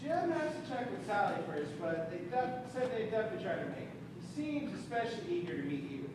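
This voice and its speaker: A polite, uneasy dinner host played by a man in a stage comedy. He is far from the mic in a very echoey hall with clear noise. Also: sits high, sing-song, fairly quick. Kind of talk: amateur stage comedy acting.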